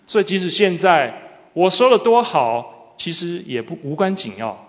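A man's voice: high voice, sing-song, normal speed. A man interpreting a sermon consecutively, delivering it phrase by phrase. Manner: follows the preacher phrase by phrase. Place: large reverberant hall. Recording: dull, reverberant large hall sound.

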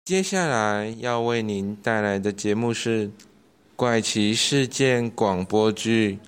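A man's voice: lowish voice, slow pace, smooth. Teenage boy making a scripted radio introduction. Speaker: student radio drama announcer.

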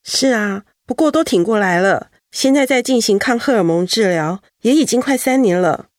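A woman playing a gentle young wife who keeps a brave face, in a radio drama. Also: on the high side, animated, normal speed, no echo, studio quality, lightly acted. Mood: grateful, calm.

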